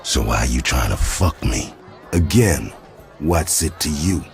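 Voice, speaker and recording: Slow, very deep menacing crime boss. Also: male voice, animated, soft background music, some chatter behind, full character voice. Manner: slow, mocking, intimidating. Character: menacing, sardonic male crime boss, coolly threatening. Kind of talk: video game voice acting.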